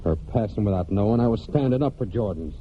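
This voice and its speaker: An actor as low-voiced, halting farm man, old radio. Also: animated, slight background noise, lightly acted. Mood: hurt, defensive. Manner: plain rustic speech, halting and reticent. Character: brooding young New England farm man, wounded war veteran. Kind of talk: 1940s American radio drama acting. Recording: muffled 1940s radio broadcast with music.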